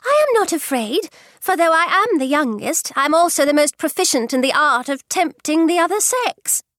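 A woman's voice: high voice, expressive, moderate pace, a bit bright, dry recording, studio quality, voice pushed up. A woman voicing a bold, boastful young daughter in an audiobook. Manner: stout, boastful.

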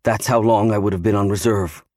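A man's voice: lowish voice, fairly even intonation, brisk, a little mellow, dry recording, clean studio sound, close to an everyday voice. A man voicing a steadfast young navy serviceman, earnestly reassuring his wife in an audiobook. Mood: earnest reassurance. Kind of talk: audiobook character acting.

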